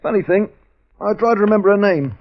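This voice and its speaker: Folksy army sergeant, higher male voice. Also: a little fast. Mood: easygoing, reflective. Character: plain-spoken, deferential male army sergeant. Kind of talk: 1950s radio drama acting. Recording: muffled vintage radio recording.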